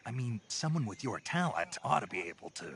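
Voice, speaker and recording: An actor playing a gruff, deadpan soldier in a video game, with a rough, gravelly voice and terse delivery. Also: male voice, medium pitch, brisk, slight background noise. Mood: dry, questioning. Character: gruff deadpan male soldier on a covert mission.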